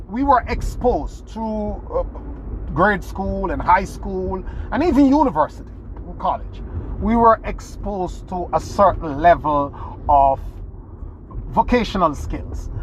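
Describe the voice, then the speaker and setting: A man's voice: sits high, a bit bright, a little hiss or hum, rough recording. A man from Jamaica, giving an earnest motivational talk as a podcast host, restarting phrases and leaning hard on key words. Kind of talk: motivational monologue.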